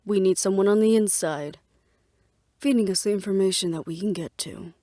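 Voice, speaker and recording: A woman acting a guarded, businesslike plotter in an audio roleplay drama, speaking in a measured, serious way. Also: medium pitch, dark tone, dry recording, studio quality, natural voice. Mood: earnest, conspiratorial.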